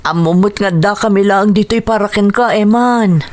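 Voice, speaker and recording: A woman's voice: mid-range voice, moderate pace, close to an everyday voice. A woman playing a spirited, supportive young friend of a boxer in a radio drama, earnest and encouraging. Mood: warm, proud.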